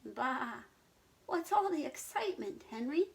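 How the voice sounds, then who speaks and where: A woman's voice: high-pitched, very animated, measured pace, creaky, voice pushed up, heavily acted. A woman reading a storybook aloud and voicing a group of eager, stubborn farm sheep with a bleating sound.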